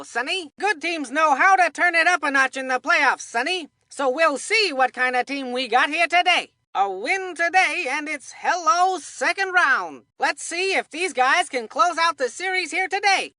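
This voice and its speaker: A woman voicing an excitable, folksy, opinionated kid sports commentator in a scripted cartoon baseball game. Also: high-pitched, no room sound, studio quality. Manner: folksy, emphatic, addresses a broadcast partner.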